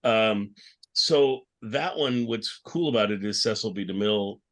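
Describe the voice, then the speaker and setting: A man's voice: on the low side, unhurried. A man chatting casually as a panelist in an informal discussion. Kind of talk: casual chat. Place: remote call for a virtual convention.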